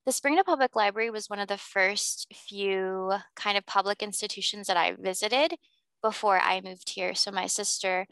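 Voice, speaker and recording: A young woman from Missouri, a community liaison guest, chatting in a relaxed way. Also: mid-range voice, normal speed, smooth, no echo.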